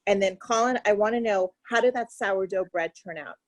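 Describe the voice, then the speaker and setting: A woman interviewer cheerfully asking two quick questions. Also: medium pitch, no echo. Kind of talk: press junket interview.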